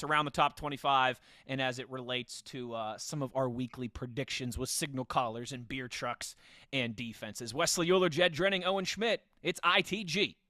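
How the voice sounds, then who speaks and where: A man's voice: fairly high pitch, sharp tone, no echo, clean studio sound. An American man in his thirties hosting an upbeat football podcast, chatting and introducing segments. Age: 30s. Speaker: sports podcast host.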